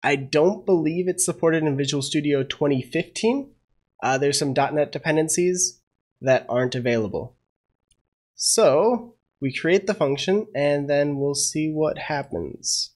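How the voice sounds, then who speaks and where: A young man hosts a casual coding tutorial, a little under the weather from a cold. His voice sits slightly high, and he thinks aloud, filling pauses with "uh" and admitting when he isn't sure what he just did. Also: darkish tone. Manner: thinking aloud, many "uh" fillers, unsure asides. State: getting over a cold. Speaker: programming tutorial host.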